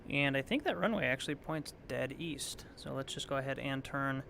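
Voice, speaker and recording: A hoarse, raspy middle-aged man calmly talking himself through a flight simulator game, thinking aloud as he flies. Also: mid-range voice, moderate pace, noisy background. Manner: relaxed, thinking aloud while flying. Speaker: flight sim streamer. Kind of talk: casual gameplay commentary.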